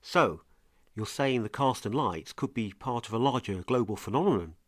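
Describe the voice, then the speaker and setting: A man's voice: mid-range voice, expressive, normal speed, dry recording, studio quality. A man hosting a scripted podcast, narrating and putting interview questions to a guest.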